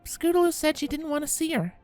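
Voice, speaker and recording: A man voicing a considerate, sensible female pony in a storybook reading, gentle and explanatory. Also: very high-pitched, lively intonation, fast talker, faint music behind, voice pushed up. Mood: careful, sympathetic. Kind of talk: dramatised storybook fan fiction reading.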